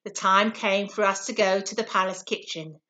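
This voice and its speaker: A woman voicing a small, earnest pea that tells its own story in an expressive, confiding way for children. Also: mid-range voice, normal speed, natural voice. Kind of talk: first-person children's story reading.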